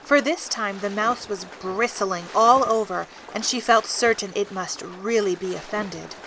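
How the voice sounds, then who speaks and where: A woman's voice: medium pitch, a little slow, a bit bright, a little hiss or hum, rough recording. A woman narrator reading a scripted children's story for an audio drama.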